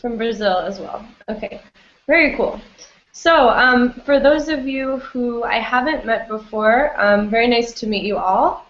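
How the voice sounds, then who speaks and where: A woman's voice: fairly high pitch, unhurried, fairly bright tone. A woman teaching an English class online, friendly and full of ums and you-knows. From United States. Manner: friendly, many fillers like um and you know. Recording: echoey, dull lo-fi audio.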